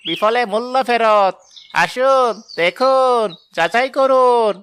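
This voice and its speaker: A man acting a bright-voiced, boastful village peddler. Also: very high voice, slow, a little hiss or hum, exaggerated voice. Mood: hawking, boastful. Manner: boastful salesman's patter, flattering. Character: smooth-talking travelling peddler hawking magic beauty pills. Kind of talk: animated moral-story drama acting.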